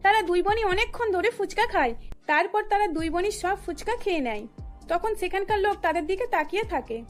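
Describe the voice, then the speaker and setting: A woman with an extremely high voice narrates a scripted cartoon tale. Faint music runs beneath her storytelling. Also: expressive, fairly quick.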